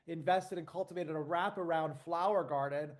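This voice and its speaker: A man speaking as a panel guest in a casual chat, playful and animated as he tells his story. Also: fairly high pitch, normal speed.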